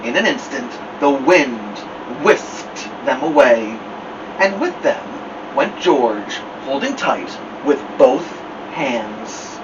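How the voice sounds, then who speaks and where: A man reading a children's picture book aloud as a storytime host, theatrically, with drawn-out words and dramatic pauses. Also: mid-range voice, big pitch swings. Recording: distant mic, dull sound, noisy background hum.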